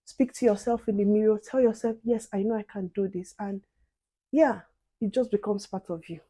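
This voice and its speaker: Young woman chatting while doing makeup, soft voice, mellow and dry sounding. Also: medium pitch, normal speed, no echo. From outside the UK. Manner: soft-spoken, reflective, chatty asides to viewers. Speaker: beauty and advice vlogger.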